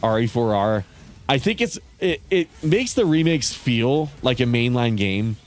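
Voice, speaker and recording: A man's voice: medium pitch, big pitch swings, measured pace. A man streaming a game and chatting casually, sounding congested and nasal, rambling with frequent swearing. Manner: rambling, swears often, laughs between thoughts. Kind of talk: casual chat while livestreaming a game.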